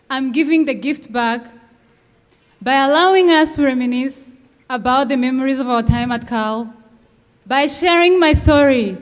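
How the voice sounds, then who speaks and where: A high-voiced young woman from Rwanda delivers an earnest commencement address. She speaks at a measured pace with emphatic pauses at a podium microphone. Her voice rings out with a long reverb from a large venue. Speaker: graduating student speaker. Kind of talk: commencement speech. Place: large outdoor ceremony venue.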